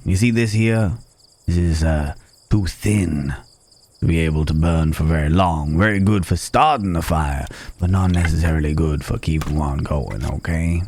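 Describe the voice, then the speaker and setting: A man playing a calm, protective cowboy stranger in an ASMR roleplay, talking in an unhurried, folksy way with hesitant pauses and gentle teasing. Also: a bass voice, a little hiss or hum. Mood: matter-of-fact, instructive. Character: gruff but caring male cowboy stranger, calm and protective. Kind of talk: immersive ASMR roleplay acting. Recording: outdoor night ambience with chirping crickets.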